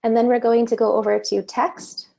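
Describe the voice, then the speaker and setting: A woman's voice: on the high side, flat delivery, moderate pace, gravelly, mellow. A woman teaching an online course, walking through a screen-share tutorial in a casual, conversational way, her voice a little worn. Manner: casual, conversational explaining with fillers. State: slightly losing her voice.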